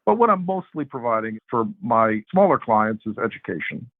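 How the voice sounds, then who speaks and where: A man speaking as a podcast guest, explaining financial markets in a measured, conversational way, in short phrases. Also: mid-range voice, sing-song, no room sound. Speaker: interview guest, macro research strategist and investment adviser. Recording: narrow phone-like sound, dry.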